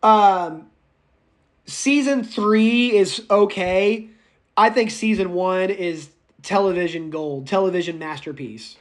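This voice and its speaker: A raspy-voiced male public speaking instructor lecturing a live online class, speaking loudly without a microphone, chatty and prone to tangents. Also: high-pitched, slow. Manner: speaks loudly, projecting as if shouting; enthusiastic, goes off on tangents and laughs. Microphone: no microphone, voice caught by the room recorder.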